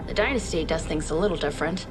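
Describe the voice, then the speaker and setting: A woman playing a brisk, businesslike research doctor in a video game, explaining in a precise, matter-of-fact and dryly exacting way. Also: low-pitched, close to an everyday voice. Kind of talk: video game cutscene briefing. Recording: music playing behind.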